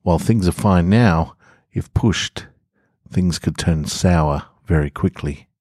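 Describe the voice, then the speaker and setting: A man's voice: sits low, slow, darkish tone, no echo. An Australian man hosting a podcast and reading stories, speaking close to the microphone. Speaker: podcast host and story reader. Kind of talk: casual podcast introduction, then first-person horror story narration. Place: garage.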